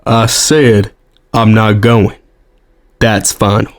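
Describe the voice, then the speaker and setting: A weary American president in an audio drama, low-pitched and grave. He is stubborn and resolute, weighed down by guilt. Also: little pitch movement, takes it slowly.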